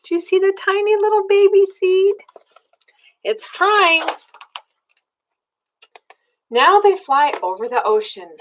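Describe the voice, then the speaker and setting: Slow, animated older woman reading a storybook. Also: very high voice, a little hiss or hum. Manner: expressive, asking the young listener simple questions in child-directed tones. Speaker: grandmother reading a picture book aloud. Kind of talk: children's storybook read-aloud.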